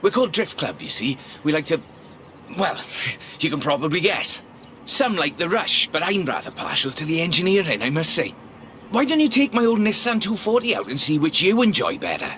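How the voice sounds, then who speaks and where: An actor playing a genteel, car-mad man who leads a drift club in a video game, speaking in a polite, chatty, understated and gently teasing way. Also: fairly high pitch, big pitch swings, normal speed, slight background noise. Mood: friendly, eager. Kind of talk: scripted video game character dialogue. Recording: game audio under loud music. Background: music and car engines.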